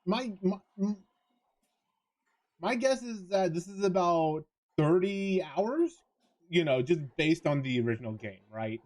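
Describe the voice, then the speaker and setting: A man chatting casually as he streams a visual novel, speaking haltingly and trailing off mid-thought. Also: high voice, expressive, a little mellow.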